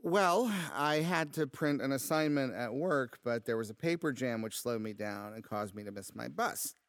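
Earnest, creaky-voiced actor as hapless ad man. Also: mid-range voice, lively intonation, normal speed, no room sound, lightly acted. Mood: flustered. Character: earnest, hapless low-level ad man, stubbornly hopeful. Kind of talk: naturalistic audio drama acting.

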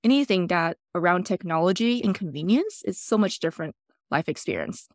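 A woman from Taiwan, a podcast guest telling relaxed stories in loosely phrased English. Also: fairly low pitch, fairly quick, dry recording, clean studio sound. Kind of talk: casual interview storytelling.

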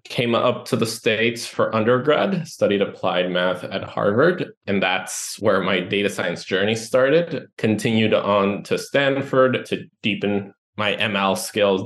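Low-voiced man from Ecuador, explaining. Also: a bit bright. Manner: explanatory, lists items, some restarts. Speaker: guest, company co-founder and CTO. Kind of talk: podcast interview answers.

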